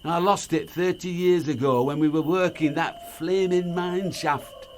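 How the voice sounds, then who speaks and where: An actor playing a blunt, grumbling middle-aged British ex-miner, gruff and wary, in an audio drama. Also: male voice, fairly high pitch, steady tone, a little slow, a little mellow, a little hiss or hum, close to an everyday voice. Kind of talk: naturalistic audio drama acting.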